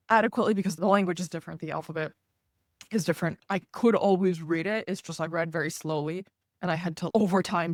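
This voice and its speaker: Podcast host, first language Russian, measured, chatty, dry sound. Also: medium pitch, dry recording. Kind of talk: casual interview conversation.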